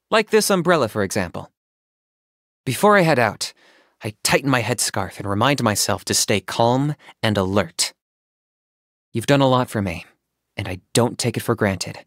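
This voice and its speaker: A man voicing a calm, pragmatic young hunter in a video game, businesslike, deadpan and composed. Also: mid-range voice, no room sound, clean studio sound, lightly acted. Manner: deadpan, matter-of-fact, composed. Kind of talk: video game character voice acting.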